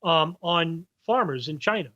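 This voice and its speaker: A man's voice: on the high side, lively intonation, a little slow, pushed and bright, highs cut off. A man with a New York accent, a guest researcher in a podcast interview, talking at length and stopping to recall names. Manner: rambling, restarts while recalling names.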